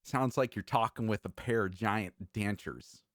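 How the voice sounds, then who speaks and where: A man's voice: fairly low pitch, normal speed, no room sound, studio quality. A man co-hosting a casual chat, joking around and sometimes trailing off mid-thought. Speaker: podcast co-host.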